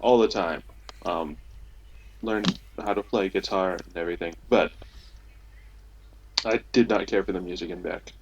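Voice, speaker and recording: Young male podcast panelist, low voice, casual discussion, slight background noise and clicks. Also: measured pace, vocal fry, pauses often. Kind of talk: casual group discussion of shows. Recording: noisy amateur internet recording with clicks.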